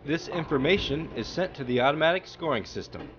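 A man giving a plain, measured voice-over that explains how a machine works. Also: fairly high pitch, very animated. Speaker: narrator. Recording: dull lo-fi sound with clear background noise.

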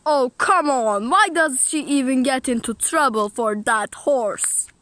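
A woman's voice: high-pitched, slow, a bit bright, dry recording. A woman playing out a toy story outdoors, switching playfully between the toys' voices, recorded on a phone held close. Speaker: toy video storyteller voicing all the toys. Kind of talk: improvised toy play voices.

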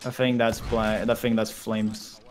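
A man's voice: lowish voice, little pitch movement, unhurried. A man chatting casually and swearing freely while playing a game with friends. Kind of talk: casual gaming chat. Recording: faint background noise on gaming voice chat.

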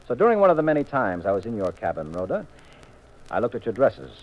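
An actor playing a confident, teasing American husband detective in a 1950s radio mystery, with a wry, assured manner. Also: male voice, fairly low pitch, wildly swooping pitch, fast talker. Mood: probing, accusing. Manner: wry, assured, leading questions. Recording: muffled vintage radio recording.

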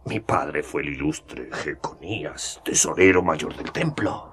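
An actor playing a scheming older magistrate with a gray beard, who talks smoothly and chuckles often in a radio drama. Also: male voice, rumbling low, animated, measured pace, fairly bright tone, exaggerated voice. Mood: sly.

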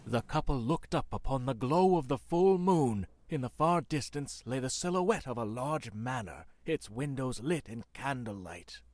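A man's voice: mid-range voice, lively intonation, normal speed, hardly any pauses, darkish tone, no room sound, close to an everyday voice. A man playing a spooky narrator, telling a scripted horror tale with theatrical, ominous phrasing. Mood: ominous storytelling. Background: music.

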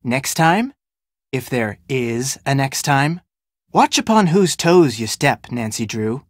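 Game villain: smug, vengeful failed thespian, gloating with big swings of pitch, dry close sound. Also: male voice, expressive, slow pace, no echo. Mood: cold threat. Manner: theatrical, gloating, sneering. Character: vengeful, gloating male ex-con actor villain, theatrical and smug. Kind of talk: video game villain acting.

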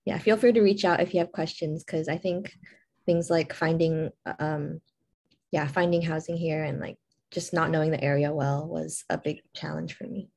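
A woman's voice: deep voice, steady tone, normal speed, creaky, a little mellow, husky. A young woman from Northern California, a dental student, introducing herself on a video call in a hesitant, apologetic way. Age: young adult.

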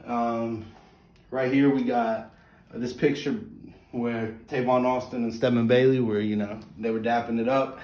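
A man's voice: mid-range voice, flat delivery, a little slow, darkish tone. A young man from West Virginia giving an enthusiastic, rambling tour of his sports fan room at home, filmed on a handheld phone. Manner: enthusiastic, rambling, many fillers. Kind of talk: casual home tour vlog. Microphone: handheld phone camera. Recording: echoey room, faint background ambience.

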